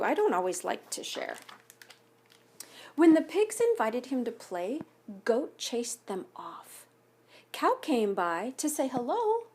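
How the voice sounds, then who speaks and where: A woman reading a picture book aloud to children like a school teacher, pausing to explain things and ask questions. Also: fairly high pitch, darkish tone, slightly rough. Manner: instructive, asks listeners questions. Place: home basement by a fire.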